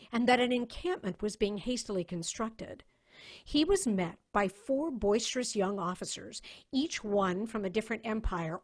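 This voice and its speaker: Woman narrating a dramatic story. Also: fairly high pitch.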